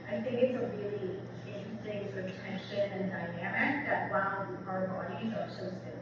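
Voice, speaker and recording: A woman speaking as an English professor giving a public book talk in a conversational manner, often checking in with her listeners. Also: medium pitch. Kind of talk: public lecture, semi-scripted. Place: large reverberant room, recorded from afar. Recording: distant mic, echoey hall, noisy background.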